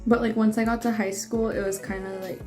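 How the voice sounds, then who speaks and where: A young woman shares her thoughts hesitantly in a casual chat. Her pitch barely moves, and she fills her pauses with "like". Faint music sits behind her. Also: flat delivery, darkish tone. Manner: hesitant, many "like" fillers.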